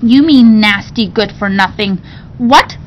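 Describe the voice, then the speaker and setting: A woman's voice: unhurried, close to an everyday voice. A woman reading a storybook, voicing a spoiled, cruel rich boy who sneers and gives orders. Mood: outraged. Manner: sneering, commanding, indignant. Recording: dull recording with low rumbling noise.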